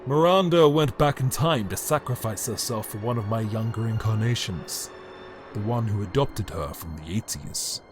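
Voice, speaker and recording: A man's voice: on the low side, expressive, close to an everyday voice. Man playing a brooding alien emperor over music. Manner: brooding, grandiose, theatrical delivery with deliberate dramatic pauses. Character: bitter, power-hungry immortal male alien emperor, brooding and grandiose. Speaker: reader of an audio story. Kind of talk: dramatic scripted monologue, fan audio drama.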